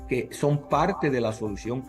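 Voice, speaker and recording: A man's voice: mid-range voice, unhurried. Middle-aged guy, english is his first language, earnest and emphatic, faint music behind. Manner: earnest, emphatic, lists points with repetition. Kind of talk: interview answers.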